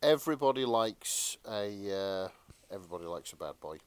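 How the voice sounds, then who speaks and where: Male co-host, fairly low pitch, informal, nerdy and keen conversational delivery. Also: expressive, normal speed, clean tone, no room sound. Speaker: podcast co-host. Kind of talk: casual chat.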